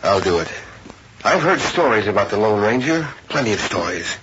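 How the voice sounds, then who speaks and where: Anxious low-voiced western rancher. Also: male voice, very animated, moderate pace. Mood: astonished, trusting. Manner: anxious, exclamatory. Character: worried, excitable western ranch owner. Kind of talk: old-time radio western acting. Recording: muffled, noisy old radio recording.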